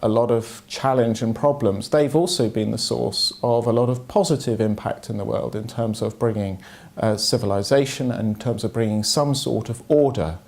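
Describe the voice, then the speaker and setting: A man gives measured, academic analysis. He pauses often to search for words and speaks at a steady level. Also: mid-range voice, gravelly. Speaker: political commentator. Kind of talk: analytical commentary.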